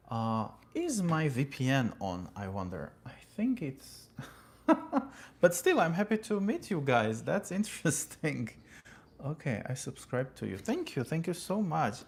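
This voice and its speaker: A man's voice: medium pitch, wildly swooping pitch, normal speed. A man from Armenia hosting a casual livestream from California. He thanks viewers by name and talks about philosophy with many fillers and asides. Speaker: livestream host. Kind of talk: casual livestream talk reading viewer comments. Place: home in California.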